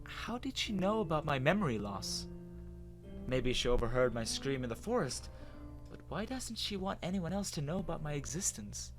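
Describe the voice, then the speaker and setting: A man's voice: a little high, very animated, moderate pace, music in the background. A man playing a bewildered human with lost memory, narrating his own story in a dramatic, hesitant, wondering way. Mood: uneasy, wondering.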